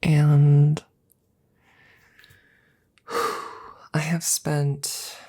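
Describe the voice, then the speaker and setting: Woman acting as a hushed, halting, anxious scientist friend. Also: low voice, level tone, full character voice. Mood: hesitant, worried. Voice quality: breathy, whispery, gravelly. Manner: halting, stammering, hushed, intimate. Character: anxious, devoted female scientist tending her best friend. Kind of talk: ASMR audio roleplay.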